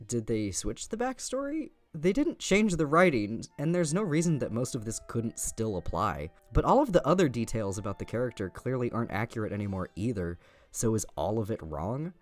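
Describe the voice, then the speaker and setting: A man's voice: medium pitch, big pitch swings, moderate pace. A man narrating a scripted video essay in an informal, opinionated way, with casual asides and the odd swear word.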